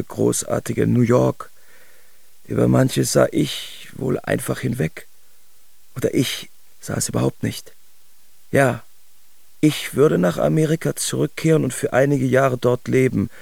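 A very gravelly reminiscing artist. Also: male voice, medium pitch, a little mellow, dry recording, heavily acted. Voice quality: gravelly, husky. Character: male artist as reflective memoirist looking back on his life. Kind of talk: autobiographical radio drama narration.

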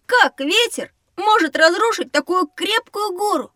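A woman's voice: extremely high pitch, expressive, unhurried, sharp tone, no echo, clean studio sound, full character voice. A woman voicing a curious, cocky young schoolboy with a playful, inquisitive manner in an educational audio drama.

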